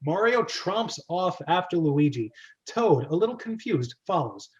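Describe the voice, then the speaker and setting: Male host for a casual table read: highish, lively voice, playful asides and self-corrections, lo-fi audio. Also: measured pace. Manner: playful, self-interrupting, chatty. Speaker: host and narrator of script reading club. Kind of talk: casual amateur script reading with commentary.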